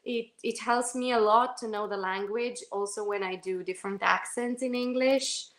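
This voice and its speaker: A woman's voice: on the high side, fairly even intonation, normal speed, fairly bright tone. Woman talking about acting, lots of ums and restarts, roomy echo. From Romania. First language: Romanian. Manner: thoughtful, self-correcting, many fillers and restarts. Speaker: actress, interview guest. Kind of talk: casual interview answers. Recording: echoey room.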